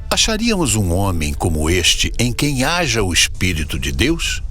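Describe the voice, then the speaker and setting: A man's voice: lowish voice, wildly swooping pitch, moderate pace, sharp tone, soft background music, exaggerated voice. A man playing a commanding, solemn king of Egypt in a dramatized audio Bible, speaking in a declamatory way. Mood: solemn, approving. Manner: declamatory, proclaiming.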